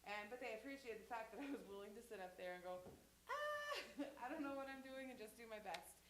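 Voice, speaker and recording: A woman's voice: fairly high pitch, very animated, fairly quick, a bit bright, a little hiss or hum. Chatty woman teaching in an echoey church. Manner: chatty, rambling with anecdotes and restarts. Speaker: sign language teacher at church. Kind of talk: casual classroom talk. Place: church hall.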